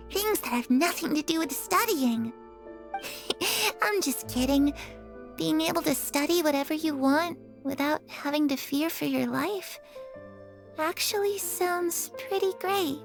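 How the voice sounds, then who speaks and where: A gentle young woman in a game cutscene, with a very high, light voice. She speaks softly and dreamily and slips easily into playful teasing. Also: vocal fry, exaggerated voice. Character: gentle wistful young woman, lost sister.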